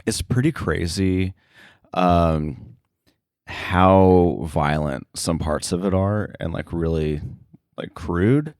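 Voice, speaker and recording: A man speaking casually as an interview guest about his work, thinking aloud in a halting way. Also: low-pitched, darkish tone, dry recording, studio quality. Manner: halting, thinking aloud, frequent filler likes. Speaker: sound editor, interview guest.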